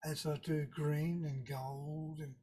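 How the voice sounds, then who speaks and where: An older man with a broad Tasmanian accent, talking easy and unhurried over a webcam. His voice sits a little high for a man, and he wanders through his stories with dry understatement. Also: slightly rough. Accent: Tasmanian Australian. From Tasmania, Australia. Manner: relaxed, rambling storyteller with laid-back understatement. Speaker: surf photographer guest. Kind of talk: casual interview on a video call. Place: indoor room with a virtual wave backdrop. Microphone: video call webcam.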